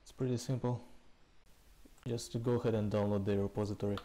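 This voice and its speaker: Man, tutorial presenter, monotone, dark relaxed tone, hesitant short phrases, casual walkthrough. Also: on the low side, a little fast, slight background noise. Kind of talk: casual screencast code walkthrough. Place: indoors, voice-over recorded with screen capture.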